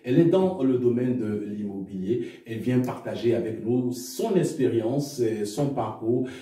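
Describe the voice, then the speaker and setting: Male radio host; formal, hesitant; low, dark voice in an echoey room, off-mic. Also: moderate pace, recorded from a distance. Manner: formal, hesitant with frequent fillers. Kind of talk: formal interview hosting.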